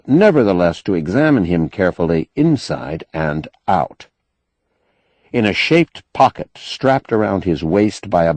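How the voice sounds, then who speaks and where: A male narrator reads science fiction in a low voice with a husky grain. His intonation moves widely as he tells the story, expressive yet measured, with carefully shaped phrases. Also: no room sound. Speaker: audiobook narrator.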